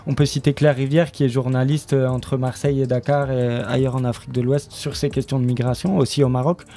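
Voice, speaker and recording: A man with a mellow, soft-edged voice at a medium pitch. He speaks as an interview guest, explaining a creative project in halting, unscripted talk. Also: little pitch movement. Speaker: guest, radio drama writer and studio member.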